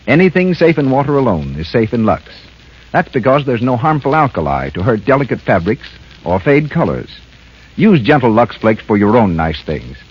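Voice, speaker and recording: Vintage radio announcer, male, husky with swooping delivery, muffled recording. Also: medium pitch. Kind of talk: scripted 1930s radio announcing.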